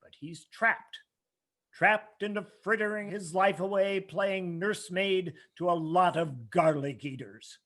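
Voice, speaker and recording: A man voices a scheming old money man from a vintage radio drama. He speaks slowly in a high-pitched voice. His manner is imperious and condescending, used to getting his way. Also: a bit bright. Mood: smooth condescension. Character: domineering, scheming rich old businessman, radio villain. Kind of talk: old-time radio play acting.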